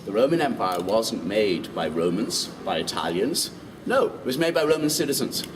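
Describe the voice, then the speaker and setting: Tour guide, far from mic. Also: male voice, on the low side, moderate pace. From Ireland. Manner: lively, quizzes the group with questions. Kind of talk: walking tour talk to a group. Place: outdoors in old city streets. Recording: distant mic, street noise.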